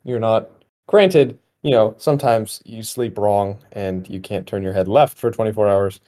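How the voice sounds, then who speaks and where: Young man with a mellow, soft-edged voice. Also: lowish voice, moderate pace. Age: 20, young adult. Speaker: podcast host. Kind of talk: casual conversational podcast chat.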